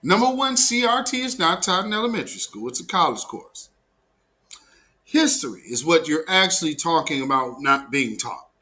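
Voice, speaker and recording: American guy doing live opinion commentary, kinda high lively voice, sarcastic and chatty. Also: moderate pace. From Portland, Oregon, United States. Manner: mocking, sarcastic, conversational. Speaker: live show host.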